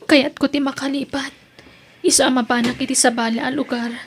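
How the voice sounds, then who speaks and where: Woman acting as high-voiced, pleading young daughter. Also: flat delivery, a little fast, over loud music. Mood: sad, resolved. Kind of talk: Ilocano family radio-style drama acting.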